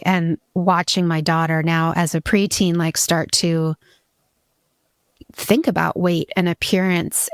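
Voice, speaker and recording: A woman's voice: lowish voice, vocal fry, no room sound, clean studio sound. A woman in her forties from Hawaii, a podcast guest telling her story in a candid, reflective way with frequent restarts. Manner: reflective, many restarts and "you know".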